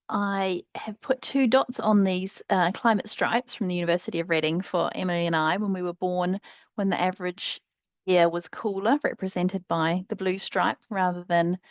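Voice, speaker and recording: A woman's voice: medium pitch, a little mellow, dry recording, clean studio sound. A woman from New Zealand giving a friendly, fluent presentation on a webinar video call. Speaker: sustainability consultant presenter.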